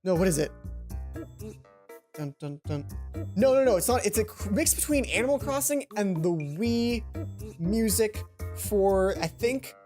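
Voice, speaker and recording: A woman's voice: mid-range voice, lively intonation, measured pace, long pauses, dark tone. Playful woman gaming host over music. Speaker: let's play host. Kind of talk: casual commentary and reading visual novel dialogue aloud. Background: game music behind the voice.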